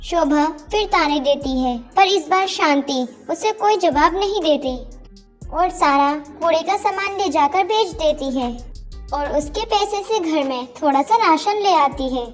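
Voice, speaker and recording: A woman's voice: high voice, lively intonation, measured pace. A woman reading scripted narration for a Hindi moral story, with music behind her.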